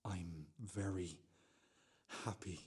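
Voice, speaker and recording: An actor playing an anxious, self-justifying man in a radio play monologue, talking in a halting, repetitive, conversational way. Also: low-pitched, a little mellow, breathy edge, exaggerated voice. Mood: quiet, tender.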